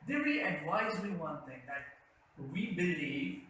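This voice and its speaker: A man's voice: fairly high pitch, sing-song, measured pace, a bit bright. A young man from Karachi with a Pakistani English accent, telling his life story in an interview, rambling with many fillers and restarts. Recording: roomy echo, faint background noise.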